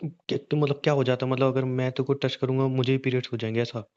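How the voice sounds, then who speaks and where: A man's voice: medium pitch, little pitch movement, quick pace, a little mellow, natural voice. A man playing a hot-tempered, suspicious young husband who badgers bluntly with repeated questions in a conversational audio drama. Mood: confused, badgering. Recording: dull, lo-fi call-recording sound.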